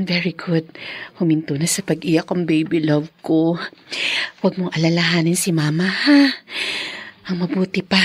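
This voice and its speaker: A woman's voice: medium pitch, husky. An actress playing an anxious, doting young mother in a radio drama, with a tender, fretful manner. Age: young adult.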